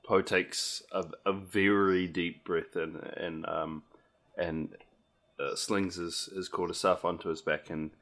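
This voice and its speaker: A man's voice: deep voice, level tone, slow pace, fairly bright tone. A man playing a tabletop game, describing his character's actions with many fillers. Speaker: player. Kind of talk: casual tabletop game chat.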